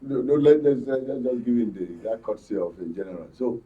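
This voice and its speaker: A man's voice: medium pitch, animated, fairly quick. Nigerian man, distant muffled mic, emphatic commentary. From Benue, Nigeria. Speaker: studio guest, journalist commentator. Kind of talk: current affairs panel commentary. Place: broadcast studio panel.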